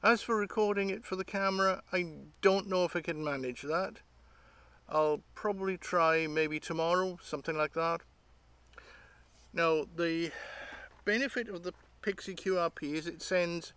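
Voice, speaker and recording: High-pitched middle-aged British man, casual. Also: bright, forceful tone, no room sound. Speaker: host of an electronics hobby channel. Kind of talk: casual unscripted show-and-tell. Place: outdoors by leafy trees.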